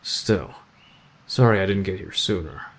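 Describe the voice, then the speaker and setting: A man acting in an ASMR roleplay as a gruff, aloof teenage delinquent, deadpan and terse but protective of a friend. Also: sits low, a little slow. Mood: gruffly caring.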